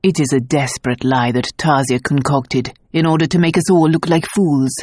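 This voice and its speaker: A man's voice: a little high, steady tone, normal speed, darkish tone, no room sound, clean studio sound, lightly acted. A man voicing a proud, bitter prince. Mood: cool.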